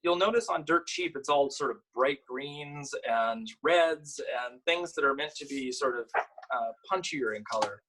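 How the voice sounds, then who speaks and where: A man with a slightly husky voice, an author and illustrator sharing picture books at a virtual storytime in an informal way. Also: a little high, animated, a bit bright. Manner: informal, halting when chatting. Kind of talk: virtual storytime, reading aloud and chatting about the books.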